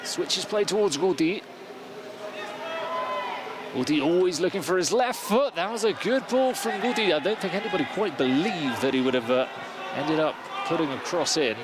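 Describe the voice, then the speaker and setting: A man from Spain with an English accent giving measured, analytical play-by-play football commentary. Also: fairly high pitch. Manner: measured, analytical calling of the action. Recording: loud crowd and stadium noise.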